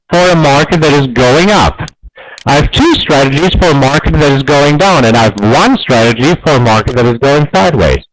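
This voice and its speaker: A man's voice: mid-range voice, rough recording. German-accented man teaching a webinar. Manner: emphatic, repeats key points, many fillers. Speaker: trading educator. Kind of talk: live webinar lecture.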